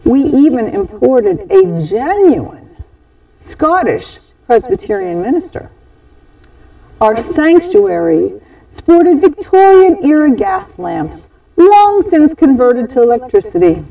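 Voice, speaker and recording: Slow, high-voiced woman reading a story. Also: warm tone. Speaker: storyteller at a church holiday program. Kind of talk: scripted first-person story reading. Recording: dull, distant microphone.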